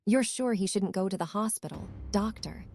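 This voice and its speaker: A woman's voice: medium pitch, expressive, moderate pace, creaky, darkish tone, a little hiss or hum. Woman playing a fretful, doting elderly aunt. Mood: anxious, doting. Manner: worried, motherly. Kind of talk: motion comic dub.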